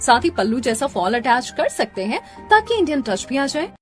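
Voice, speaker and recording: Woman plays gentle, helpful young bahu, earnest and polite, lively high voice, faint music behind. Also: normal speed. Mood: encouraging. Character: humble, gentle, helpful young daughter-in-law. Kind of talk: melodramatic Hindi cartoon story dialogue.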